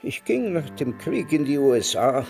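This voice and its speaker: An actor playing a sardonic, world-weary old emigrant in a German radio drama, dry and deliberate in manner. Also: male voice, mid-range voice, big pitch swings, gravelly, a little mellow, soft background music. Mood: wistful reminiscing. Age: older.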